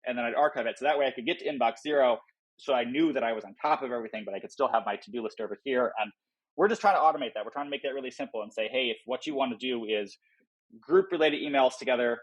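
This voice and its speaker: A man's voice: mid-range voice, very fast, rough recording. A man giving informal, explanatory interview answers about a product, often voicing imagined quotes as he goes. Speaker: software company founder, podcast guest.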